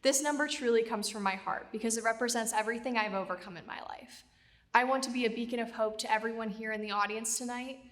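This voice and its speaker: A woman's voice: on the high side, fast, a bit bright. A young woman giving an earnest, heartfelt scripted introduction to her pageant talent performance. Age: young adult. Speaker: pageant contestant. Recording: long reverb of a large hall.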